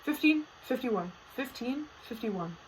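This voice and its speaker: A woman's voice: on the high side, lively intonation, a little slow, slight background noise, poor audio. Chatty teenage girl podcasting solo. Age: teens. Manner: chatty, filler-heavy, playfully dramatic. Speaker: podcast host. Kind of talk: casual solo podcast chat.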